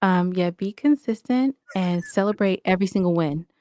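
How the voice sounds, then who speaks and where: A woman with a slightly low voice talks casually as a guest on a podcast. Her delivery is emphatic and motivational, with frequent little check-ins to the listener. The sound is very dry, with no room echo. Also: moderate pace, mellow. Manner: emphatic, motivational, often adds "right?". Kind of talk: casual interview chat.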